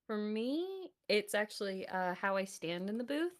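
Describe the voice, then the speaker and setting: Female guest, mid pitch, cheerful, self-deprecating, relaxed conversational delivery. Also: measured pace. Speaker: voice actor, guest. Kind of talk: casual chat.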